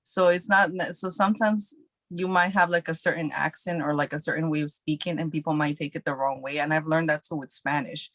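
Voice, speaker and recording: Low-voiced New actress, chatty. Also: female voice, fairly even intonation, a little fast, a bit bright, dry recording. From New York City. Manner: chatty, many filler likes. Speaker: guest actress. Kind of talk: casual podcast chat.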